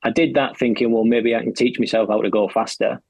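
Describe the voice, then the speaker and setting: A man's voice: medium pitch, fairly even intonation, brisk, a little mellow, slightly rough, no echo. A man in his forties, a podcast guest, telling his story with wry humour. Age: 40s. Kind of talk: casual chat.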